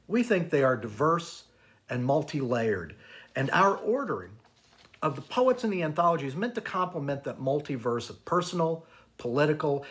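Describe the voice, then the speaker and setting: Man giving a formal prepared introduction. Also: medium pitch. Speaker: academic program director and anthology editor. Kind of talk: prepared introduction, read presentation.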